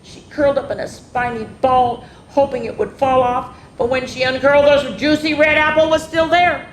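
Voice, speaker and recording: A woman's voice: fairly even intonation, normal speed. A woman hosting a children's story time, reading a picture book expressively, drawing out words and adding casual asides. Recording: echoey room with faint rumbling noise.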